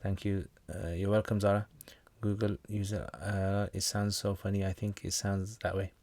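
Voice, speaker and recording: A man with a fairly low voice hosts a livestream, answering viewer comments in a relaxed, joking, meandering way. The sound is dry and close, with no room around it. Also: normal speed, dry recording. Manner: jokey, rambling, with slangy asides. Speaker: Islamic teacher hosting a livestream Q&A.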